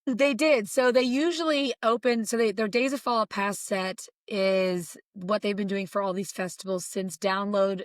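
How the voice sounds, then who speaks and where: A woman co-hosting a podcast, hoarse today, chatting excitedly about bands she loves. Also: medium pitch, moderate pace, hardly any pauses, no room sound, studio quality. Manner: chatty, excited fan storytelling. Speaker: podcast co-host. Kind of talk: casual podcast chat.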